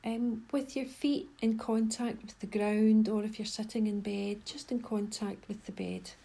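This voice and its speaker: A woman leading a guided meditation in a soft, breathy voice, giving gentle, unhurried instructions with pauses. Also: mid-range voice, steady tone. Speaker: mindfulness guide.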